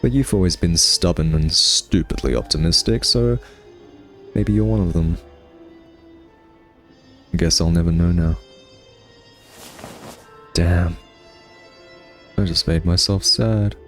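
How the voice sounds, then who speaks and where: A man's voice: low-pitched, slow, long pauses, soft background music. A man playing a smug male vampire in an ASMR roleplay, taunting a captured hunter with a sardonic, teasing, conversational drawl. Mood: wry resignation.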